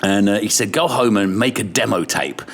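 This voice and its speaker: A middle-aged man with an English accent, telling radio stories in a relaxed, chatty way and swearing casually. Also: fairly low pitch, normal speed, breathy edge. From Ireland. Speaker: podcast guest, radio presenter. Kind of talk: casual chat.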